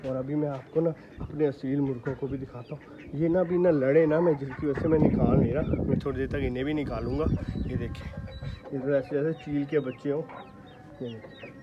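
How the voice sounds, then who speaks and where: A young man vlogging his poultry yard on a phone held at arm's length, chatting and calling out loudly to his birds. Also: medium pitch, fairly even intonation, fairly quick. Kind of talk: casual selfie vlog. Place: rooftop poultry yard outdoors, light rain. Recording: dull phone audio with outdoor noise.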